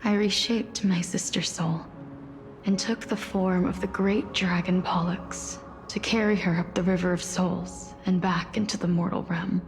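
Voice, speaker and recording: A solemn female death deity from a fantasy game, tells her tale very slowly and softly. Her voice sits a little low with a creaky edge and drifts into breathiness, wistful and grave. Soft music plays behind her. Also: steady tone. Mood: mournful, reflective. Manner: slow, soft, solemn storytelling, often breathy. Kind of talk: scripted game character voice acting.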